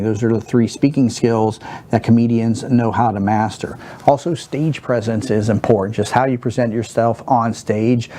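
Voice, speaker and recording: A man in his thirties in a studio, teaching stand-up comedy basics in a monotone, rambling way with many fillers and restarts. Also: fairly low pitch, a little hiss or hum. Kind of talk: unscripted talk to camera. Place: studio set with a wooden backdrop, seated at a desk.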